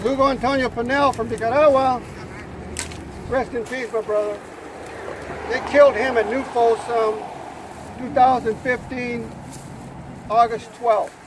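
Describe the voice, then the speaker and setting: An older man speaking loudly and plainly at an outdoor protest rally, pausing often with fillers. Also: very high-pitched. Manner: loud, plain-spoken, halting with fillers. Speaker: rally speaker, former prisoner. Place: outdoors at a street demonstration. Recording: noisy outdoor street recording.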